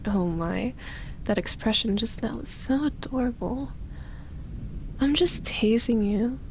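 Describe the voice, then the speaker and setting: A woman voicing an ancient, lonely vampire in love, speaking softly and intimately with sighs, whispered words and lingering pauses, as in roleplay ASMR. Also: a little high, slight background noise. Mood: tender, teasing. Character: lonely, obsessive female vampire, ancient, tender and teasing.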